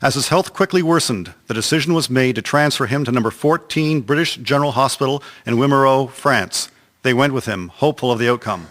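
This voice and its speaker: A man's voice: mid-range voice, fairly quick, fairly bright tone. A man narrating a historical radio drama from a script in a solemn, formal storytelling manner. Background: music.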